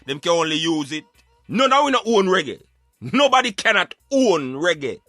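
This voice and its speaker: Bright, forceful Jamaican man. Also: sits high, big pitch swings, moderate pace, dry recording, faint music behind. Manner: emphatic, preaching street-philosopher delivery. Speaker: radio show host and commentator. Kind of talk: opinionated social commentary in Patois.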